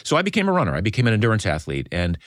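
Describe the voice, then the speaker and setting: A man in his seventies from Maine, a podcast guest telling his story in a measured way with some hesitant pauses. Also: fairly low pitch, huge pitch swings, dry recording, studio quality. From Maine, United States. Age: 70s. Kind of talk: casual interview talk.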